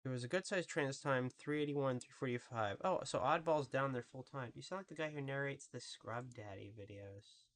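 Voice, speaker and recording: A woman with a really deep voice, casually commentating a live game stream and chatting with viewers in a rambling, profane way. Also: normal speed, hardly any pauses. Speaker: VTuber streamer.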